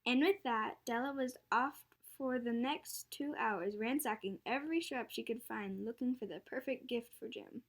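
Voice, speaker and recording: A woman's voice: a little high, moderate pace, few pauses. A girl of about ten acting as the composed narrator of a school radio play, reading a scripted story. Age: child of about ten.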